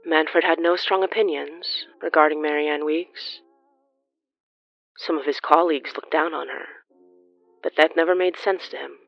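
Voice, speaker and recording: A narrator telling a gothic horror story in a measured, theatrical, scripted style. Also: mid-range voice, steady tone, creaky, muffled recording, soft background music.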